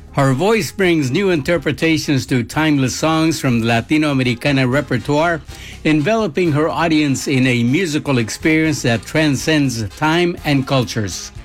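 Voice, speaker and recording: A man narrating a scripted introduction and asking interview questions in a smooth, polished way. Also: on the high side. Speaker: host.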